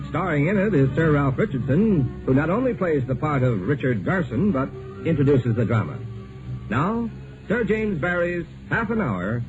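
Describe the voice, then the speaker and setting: A man hosting a 1950s radio show, making a scripted announcement. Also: on the high side. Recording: muffled 1950s radio recording. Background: music.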